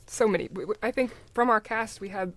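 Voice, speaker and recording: A woman's voice: mid-range voice, a little fast, no room sound. A middle-aged woman talking casually as a guest in an interview about her creative work. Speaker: podcast guest, audio drama writer and producer.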